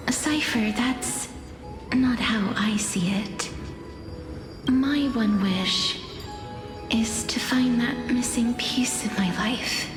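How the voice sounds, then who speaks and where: A woman voicing a calm, softly melancholy young female seer with measured, solemn delivery in a fantasy game. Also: a little high. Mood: wistful, reserved. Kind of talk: dubbed fantasy game dialogue. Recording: long reverb with loud music.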